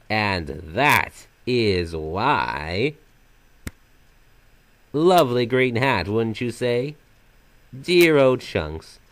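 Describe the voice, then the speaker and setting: Guy doing a silly sinister magic jester-type game villain, super swoopy pitch, mock polite, real dry close recording. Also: no echo. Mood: taunting. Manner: theatrical, florid, mock-polite. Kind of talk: fan voice-over of video game villain lines.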